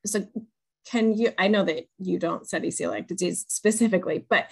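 A woman's voice: medium pitch, fairly even intonation, normal speed, creaky, slightly rough. A woman, putting questions conversationally as the moderator of a webinar. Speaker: moderator, patient-advocacy research director. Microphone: video call on wired earbuds.

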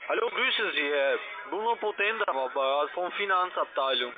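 A man's voice: on the high side, expressive, unhurried. A man who is not a native speaker, an insistent finance agent on the phone with broken grammar. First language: not German. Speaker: call centre finance agent. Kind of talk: phone sales pitch. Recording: noisy narrow telephone line.

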